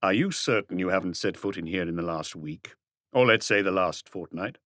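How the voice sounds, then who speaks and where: A man's voice: sits low, normal speed, a bit bright, dry recording, clean studio sound. A man voicing a composed, probing police detective who asks terse, persistent questions in an audiobook. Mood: insistent. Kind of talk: audiobook character dialogue.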